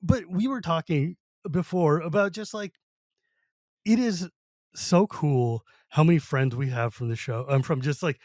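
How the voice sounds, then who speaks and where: Male podcast host, middle-aged, slightly high voice, dry close mic, chatty and giggly. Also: a little slow, a little mellow, no room sound. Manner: laughs often, rambling with restarts. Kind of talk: casual chat.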